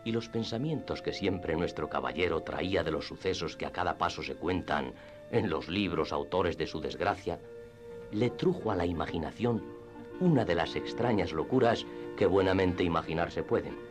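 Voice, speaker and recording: A man narrating classic literature in radio theatre style, with expressive storytelling and deliberate phrasing. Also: low voice. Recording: music under the voice. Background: background music.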